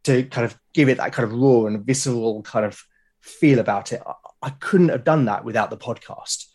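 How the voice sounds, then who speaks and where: A British man talking as a podcast guest, explaining his creative process in a reflective, halting way. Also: medium pitch, a little mellow. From Britain. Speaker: podcast guest, creator of a solo role-playing show.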